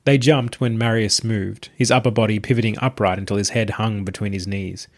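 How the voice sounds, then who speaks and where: A man's voice: lowish voice, steady tone, brisk, darkish tone. A man narrating a scripted short story as its reader.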